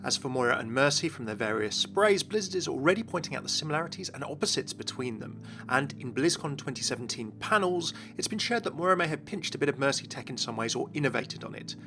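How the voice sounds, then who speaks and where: A man's voice: medium pitch, animated, fast, few pauses. A man presenting game lore as an enthusiastic scripted video narration. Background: music.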